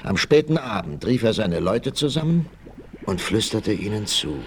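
A man's voice: on the low side, sing-song, normal speed. A man narrating a scripted adventure story for a 1960s radio play. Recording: slightly noisy old vinyl record.

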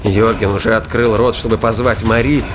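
A man's voice: on the low side, flat delivery, a little fast. A man reading scripted narration for a science fiction thriller audio drama. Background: music and sound effects.

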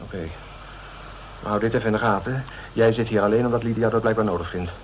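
A man's voice: lowish voice, steady tone, fast talker, close to an everyday voice. An actor with a Netherlands Dutch accent playing a suspicious, touchy man in a radio drama, hesitant, colloquial and blustering. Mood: indignant, suspicious. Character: suspicious, touchy man receiving late-night visitors. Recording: muffled, noisy radio recording.

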